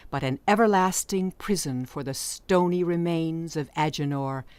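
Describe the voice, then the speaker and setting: A woman's voice: low-pitched, moderate pace, darkish tone, clean tone, no echo. A woman narrating an audiobook story in a scripted, dramatic storytelling style.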